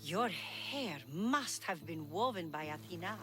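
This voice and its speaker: An actress playing a flattering general's wife in an ancient Greek video game, gushing and coaxing as she talks. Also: fairly low pitch, unhurried. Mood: flattering, coy. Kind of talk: scripted video game acting. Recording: music behind the voice.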